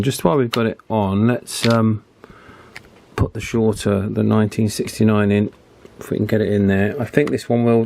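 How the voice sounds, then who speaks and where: A man's voice: lowish voice, a little mellow, slight background noise. A British man giving casual, hands-on repair commentary, thinking aloud in a matter-of-fact way as he presents. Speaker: radio repair hobbyist presenter.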